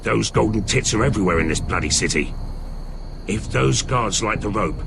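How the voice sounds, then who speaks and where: An actor voicing a gruff, foul-mouthed mercenary leader in a dramatic video game, blunt and commanding as he gives orders. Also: on the low side, lively intonation, normal speed, background noise. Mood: gruff briefing. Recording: music behind the voice.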